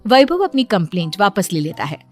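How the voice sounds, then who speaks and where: A woman's voice: medium pitch, big pitch swings, fairly quick, faint music behind. A woman narrator telling a scripted moral family story.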